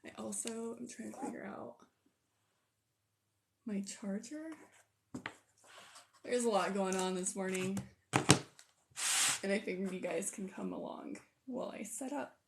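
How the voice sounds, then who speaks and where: A hoarse, tired-sounding woman hosting a casual livestream, rambling and thinking aloud as she sets up. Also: mid-range voice, unhurried, long pauses, background noise. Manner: rambling, self-deprecating, thinks aloud while sorting pieces. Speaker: livestream host. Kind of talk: casual livestream chat while setting up a build.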